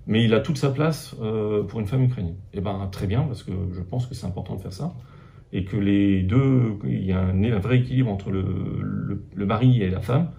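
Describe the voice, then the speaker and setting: A man's voice: on the low side, gravelly, warm tone, muffled recording. A man from France answering interview questions in a hesitant, reflective way, often restarting his phrases. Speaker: interviewed husband.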